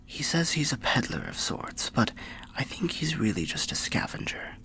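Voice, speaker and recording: A man voicing an old undead soul telling fantasy tales in a musing, unhurried ASMR roleplay manner, low, gravelly and half-whispered. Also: big pitch swings, bright, forceful tone, full character voice. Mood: helpful, musing. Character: old undead soul, genial storyteller by a bonfire.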